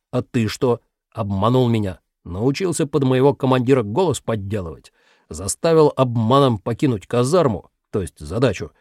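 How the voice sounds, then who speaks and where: A man's voice: mid-range voice, darkish tone, no echo, studio quality, lightly acted. A man doing a strict toy soldier. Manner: reproachful, formal.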